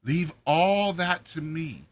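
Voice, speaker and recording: A man voicing a crippled dwarf court jester, a cunning schemer who speaks in a measured, coaxing way in a dramatized story reading. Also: a little high, animated. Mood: persuasive, scheming.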